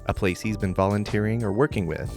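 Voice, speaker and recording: A man's voice: on the low side, fast, vocal fry, a little mellow, soft background music. A man hosting a podcast who introduces the episode and interviews his guest with short, encouraging replies. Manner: friendly, brief encouraging responses. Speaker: podcast host.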